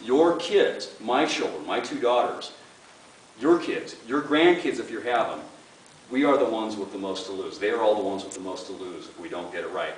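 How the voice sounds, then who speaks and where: A man's voice: lowish voice, lively intonation, moderate pace. A man running for office, giving an earnest campaign speech to a room of supporters and often repeating words as he starts a sentence. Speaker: political candidate. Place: meeting room with an audience. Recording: echoey room, far from the mic, faint hiss.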